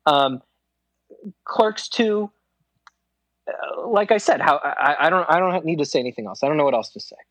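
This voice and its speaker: A man's voice: fairly high pitch, lively intonation, moderate pace, long pauses, fairly bright tone, no echo. A man co-hosting a casual podcast, arguing with wry, exasperated laughs. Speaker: podcast co-host. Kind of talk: casual debate chat.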